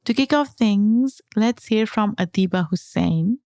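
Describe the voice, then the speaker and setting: A woman's voice: mid-range voice, expressive, unhurried, mellow, no room sound, clean studio sound, faint music behind. A woman hosting a podcast, reading a scripted introduction in an inviting way. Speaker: podcast host.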